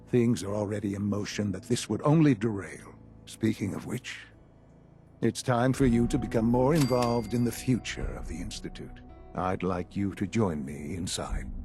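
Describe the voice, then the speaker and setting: An actor playing a calm, calculating older male leader of a science institute in a video game, speaking in a measured, formal way. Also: fairly low pitch, close to an everyday voice. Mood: grave, stern. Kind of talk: video game cutscene acting.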